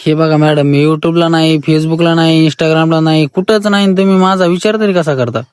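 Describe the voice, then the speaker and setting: A man's voice: fairly high pitch, steady tone, moderate pace, smooth, no echo, studio quality. A man with a rural Maharashtrian accent on a comic phone call, pleading and insistent while dodging questions. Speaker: caller, self-declared fan.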